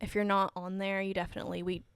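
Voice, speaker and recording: A woman's voice: mid-range voice, little pitch movement, fast, vocal fry, smooth, dry recording, studio quality. Chatty young woman podcast co-host. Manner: chatty, lots of "like" fillers. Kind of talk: casual chat.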